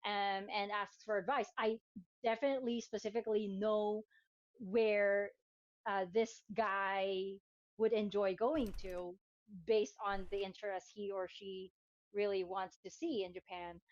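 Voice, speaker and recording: A woman's voice: medium pitch, steady tone, unhurried, no echo, muffled recording. A woman in her thirties from the Philippines, chatting casually as co-host, talking hesitantly with many fillers. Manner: hesitant, lots of fillers and restarts, laughs often. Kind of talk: casual chat.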